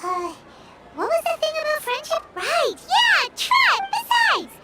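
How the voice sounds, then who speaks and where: A woman voicing a tiny, excitable, childlike fairy companion in a video game, squeaky and bubbly. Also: measured pace, recorded from a distance, heavily acted. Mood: excited, encouraging. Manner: bubbly, exclaiming, animated. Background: loud music.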